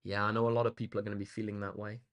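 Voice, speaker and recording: A young man with a slightly croaky voice, tutoring maths on a live stream in an upbeat, chatty way, reading out comments from chat. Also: lowish voice, little pitch movement, rapid-fire, muffled recording. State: slightly hoarse. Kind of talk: live-streamed exam walkthrough.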